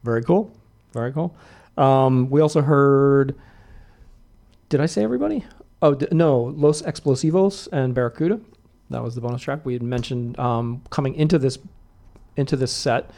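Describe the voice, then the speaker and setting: A man's voice: medium pitch, moderate pace, gravelly, dark tone. A man hosting a radio show, chatting casually in the studio, laughing often and teasing his co-host.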